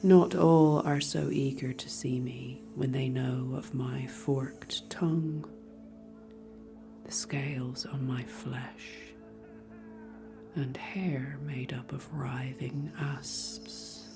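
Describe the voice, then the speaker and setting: A haughty female medusa purrs and whispers in a low, gravelly register. She drawls slowly and disdainfully. Faint music plays beneath the audio drama. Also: steady tone, exaggerated voice. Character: proud female medusa hiding behind a screen, bored and sneering.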